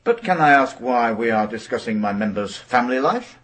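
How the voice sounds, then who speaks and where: An actor playing a protective, indignant railway union representative with a husky voice, formal and emphatic, in a radio drama. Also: male voice, medium pitch, animated, moderate pace, fairly bright tone. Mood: firm, protective. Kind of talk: naturalistic radio drama acting.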